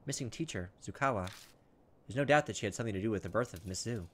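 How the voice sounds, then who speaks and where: A man's voice: lowish voice, quick pace. A man playing through a game as host, reading its text aloud and dropping in informal, muttered asides. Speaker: let's play host. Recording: steady background noise over the voice.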